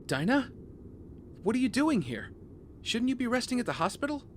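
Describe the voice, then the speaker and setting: Man acting gentle protective young man, noisy background. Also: very high voice, sing-song, normal speed. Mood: surprised. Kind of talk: audio drama acting. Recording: clear background noise and ambience.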